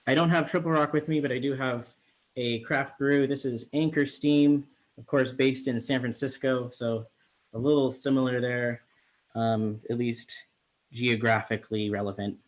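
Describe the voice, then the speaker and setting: A man's voice: mid-range voice, moderate pace. A young man from the Bay Area, managing editor, casually hosting a virtual reading with chatty asides. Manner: chatty, relaxed, with fillers.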